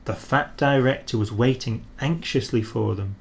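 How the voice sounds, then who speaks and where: A man reading a children's storybook aloud in a gentle, expressive narrating voice. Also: medium pitch, normal speed, vocal fry.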